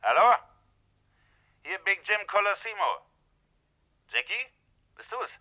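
A menacing gangster boss talks down the line with mocking scorn. His voice comes through a dull, muffled telephone filter, higher and cuttingly bright. It sounds like a 1950s radio play. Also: sing-song, slow pace, exaggerated voice. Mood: sneering. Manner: sneering, mocking. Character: menacing American gangster boss, middle-aged man. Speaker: gang boss on the phone.

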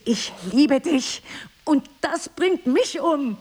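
An actress playing a middle-aged, wounded and jealous wife in an intimate drama, with intense, emphatic phrasing. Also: female voice, high-pitched, animated, slow, noisy background. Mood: bitter, yearning. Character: wounded, bitter, jealous wife, drinker, ageing and proud. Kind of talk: intimate stage-play dialogue and confessional monologue.

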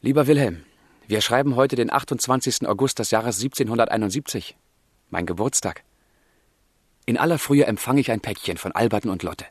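A man's voice: mid-range voice, a little fast, slightly rough, no echo, clean studio sound, lightly acted. An actor playing a passionate, brooding young man of the 1770s in a literary radio drama, with fervent, declamatory delivery. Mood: wistful, tender. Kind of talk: literary radio drama, dialogue and letter monologue.

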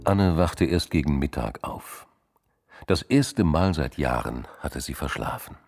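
A man narrating a scripted crime drama in a measured, sober storytelling manner. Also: rumbling low, animated, gravelly, a little mellow, dry recording, clean studio sound, faint music behind.

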